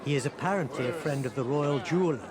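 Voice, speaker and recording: A man's voice: medium pitch, fast, a crowd in the background, natural voice. A man playing an exiled prince in a video game, with a formal, courteous, earnest way of speaking. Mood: earnest, scheming. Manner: formal, courteous, well-spoken. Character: exiled Indian prince, polite, refined, earnest, idealistic. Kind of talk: video game cutscene acting. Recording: loud outdoor city and vehicle noise behind.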